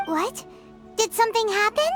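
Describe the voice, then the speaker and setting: Game role: tiny chirpy fairy girl sidekick, squeaky, extremely high, swooping delivery. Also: animated, normal speed. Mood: worried surprise. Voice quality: squeaky, childlike. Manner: exclaiming, speaks of herself in third person. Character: tiny excitable childlike female fairy companion, curious and chirpy. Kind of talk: video game voice acting. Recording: loud music under the voice.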